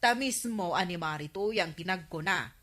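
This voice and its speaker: A woman's voice: fairly low pitch, lively intonation, moderate pace, a bit bright. A woman playing an envious, gossiping neighbour who loves shopping, full of exclamations, in an Ilocano comedy radio drama. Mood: insistent.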